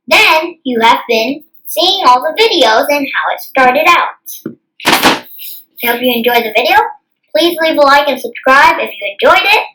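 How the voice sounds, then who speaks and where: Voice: high-pitched, pushed and bright, rough recording. A child host reading a picture book aloud and adding rambling, earnest asides. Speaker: storytime channel host.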